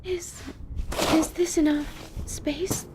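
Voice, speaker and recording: Shy, high-voiced female mage a woman acting. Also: steady tone, drawn-out words, reverberant, heavy background noise, exaggerated voice. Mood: hesitant. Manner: polite, tentative. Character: shy, formal, hesitant female young mage. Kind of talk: audio drama acting.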